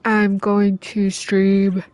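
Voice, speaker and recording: A woman game streamer chatting casually to viewers, halting as she thinks aloud. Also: medium pitch, monotone, darkish tone. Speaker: game livestreamer. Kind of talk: casual livestream chat. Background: faint game music.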